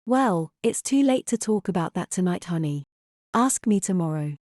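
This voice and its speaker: A woman playing a sensible, skeptical young mother in an audio drama, talking in a measured, firm way to a small child. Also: fairly low pitch, animated, darkish tone, dry recording, clean studio sound, close to an everyday voice. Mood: gently dismissive.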